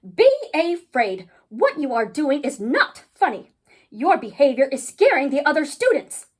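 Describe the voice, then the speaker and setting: Woman acting out a shrill, scolding ghoul principal. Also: sing-song, unhurried, darkish tone. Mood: stern warning. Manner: emphatic, scolding delivery. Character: stern, easily scared female ghoul school principal, scolding.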